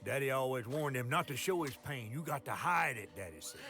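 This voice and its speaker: An actor voicing a folksy, wry and caring talking fish in a video game, telling stories with a gravelly Southern US accent. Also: male voice, mid-range voice. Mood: somber storytelling. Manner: folksy, expressive, unhurried storytelling. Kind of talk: theatrical storybook narration and game dialogue. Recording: music under the voice.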